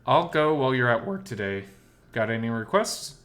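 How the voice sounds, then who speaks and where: A man's voice: medium pitch, big pitch swings, moderate pace, a bit bright. A man voicing a doting male boyfriend in a visual novel, with a teasing, tender way of talking. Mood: casual. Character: doting, teasing boyfriend who cooks for his wolf.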